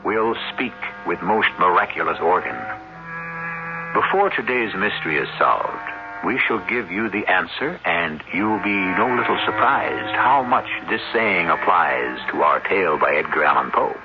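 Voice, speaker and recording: A man's voice: sits low, lively intonation, unhurried, music in the background. A man hosting a radio drama with formal, theatrical scripted narration. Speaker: radio show host. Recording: muffled old radio, clear hiss.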